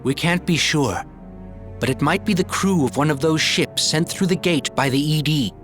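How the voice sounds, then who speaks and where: Measured, serious mission commander played by a man. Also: fairly high pitch, a bit bright, natural voice. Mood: calm, instructive. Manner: formal, explanatory. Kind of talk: sci-fi game voice acting. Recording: music behind the voice.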